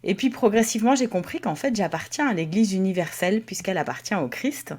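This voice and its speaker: Female podcast co-host from Alsace, medium pitch, conversational and reflective, feeling her way through phrases. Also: lively intonation, fairly quick, darkish tone, husky. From Alsace, France. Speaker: podcast co-host and Protestant pastor.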